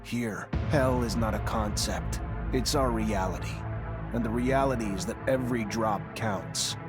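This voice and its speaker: An actor playing an earnest, composed young cadet in a sci-fi video game, speaking in a measured, solemn way. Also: male voice, lowish voice, lightly acted. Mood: grave, solemn. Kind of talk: scripted game narration and dialogue. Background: background music.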